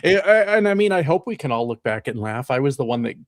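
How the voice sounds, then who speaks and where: A man's voice: medium pitch, big pitch swings, quick pace, dry recording. An American man co-hosting a political livestream, talking loosely with lots of swearing, ums and self-mocking laughs. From United States. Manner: loose and chatty, swears freely, laughs at himself, many ums. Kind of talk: casual political chat.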